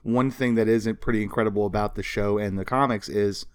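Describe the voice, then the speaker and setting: A man's voice: lowish voice, fairly even intonation, brisk, clear, resonant tone, no room sound, clean studio sound. A man co-hosting a podcast in casual chat, talking with a fan's enthusiasm. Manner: enthusiastic self-described history nerd. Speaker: podcast co-host.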